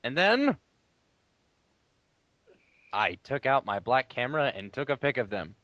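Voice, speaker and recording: Animated young man reading aloud, dull lo-fi sound. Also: mid-range voice, unhurried, dry recording. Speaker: player in a comedic dramatic reading game. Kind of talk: theatrical read-aloud with dry asides.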